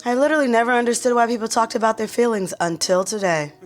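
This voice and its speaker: A woman's voice: fairly high pitch, fast, soft background music, lightly acted. An actress playing an awkward, guarded young woman in an audio sitcom, blunt about her own messy life. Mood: blunt, searching. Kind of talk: sitcom audio drama acting.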